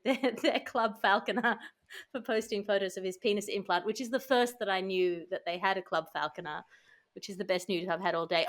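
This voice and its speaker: A woman's voice: mid-range voice, a bit bright. A woman hosting a comedy news panel in a wry, quippy conversational way. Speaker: host.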